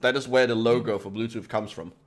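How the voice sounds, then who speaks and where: A man's voice: medium pitch, fairly even intonation. A Danish-born man speaks English in an ordinary middle register, stopping and restarting often and padding his lines with "like." He sounds like he is chatting loosely with friends on a podcast. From Denmark. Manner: halting, many "like" fillers. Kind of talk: casual group chat.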